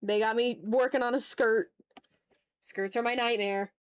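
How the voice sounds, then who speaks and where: A woman with a fairly high voice hosts a casual drawing livestream. She speaks informally and jokingly to her chat, with some mild swearing. The sound is dry, with very little room in it. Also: fairly even intonation, a little slow, clear, resonant tone, dry recording. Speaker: livestream host, illustrator.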